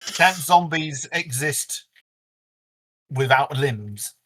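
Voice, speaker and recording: A man's voice: fairly high pitch, expressive, very slow, sharp tone, a little hiss or hum, low-quality recording. A man from Cornwall co-hosting a casual podcast, hesitant with many fillers and wry. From Cornwall, England. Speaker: co-host.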